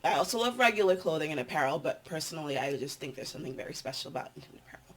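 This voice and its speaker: A woman's voice: low voice, lively intonation, rapid-fire, a bit bright. A young adult woman with a nasal voice, chatting casually to her vlog audience in a meandering, filler-filled way. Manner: chatty, meandering, with frequent ums and likes. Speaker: vlogger.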